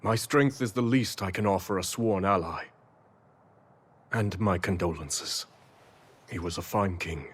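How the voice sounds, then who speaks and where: An actor playing a noble, resolute king in a game cutscene, speaking in a formal, measured way. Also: sits low, fairly bright tone, a little hiss or hum. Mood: solemn. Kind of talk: video game cutscene acting.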